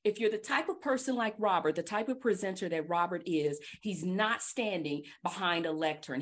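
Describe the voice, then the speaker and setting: A woman hosting a podcast, giving casual advice in an animated, emphatic way and repeating her key points. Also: lowish voice. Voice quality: trained projecting voice. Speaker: podcast host.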